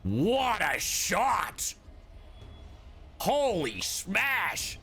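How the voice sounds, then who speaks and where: A man livestreaming a game, reacting casually and excitably in slangy exclamations. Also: sits high, very slow, soft background music. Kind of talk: casual live gameplay reaction.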